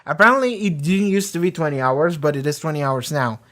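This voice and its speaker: A reaction video host in a casual, rambling discussion, often talking loudly, swearing freely and filling pauses with lots of "like" and "um". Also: mid-range voice. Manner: swears freely, many fillers and restarts; often talks loud.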